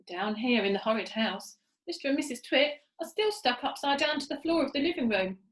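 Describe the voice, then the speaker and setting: A woman reading a children's story aloud with expressive storytelling delivery. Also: high voice, a little fast. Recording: echoey room.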